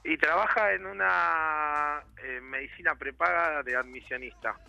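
A man in his thirties with an Argentine accent answers a host in brief, hesitant replies. His voice is gravelly and bright, and it sounds dry with soft music behind. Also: fairly high pitch, a little slow, dry recording. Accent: Argentine Spanish. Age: 30s. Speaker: caller. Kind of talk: casual chat.

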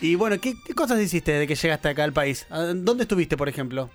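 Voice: mid-range voice, animated, fast talker, soft background music. A radio host with a Rioplatense accent, interviewing a guest in a studio in a chatty way and laughing often. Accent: Rioplatense Spanish.

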